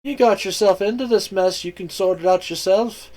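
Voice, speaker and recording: A man voicing a brash, quick-tempered young woman who talks bluntly with plenty of slang. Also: fairly high pitch, natural voice.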